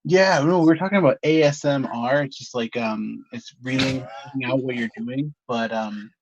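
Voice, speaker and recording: A man's voice: medium pitch, normal speed, highs cut off, slight background noise. A man hosting a podcast in casual, chatty conversation. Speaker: podcast host. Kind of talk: casual chat.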